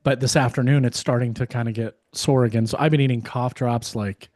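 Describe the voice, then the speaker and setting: A man co-hosting a podcast, hoarse and losing his voice, talking in a casual, rambling way with many fillers and restarts. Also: mid-range voice, fairly even intonation, a little fast, darkish tone, no room sound, clean studio sound. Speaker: podcast co-host. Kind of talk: casual chat.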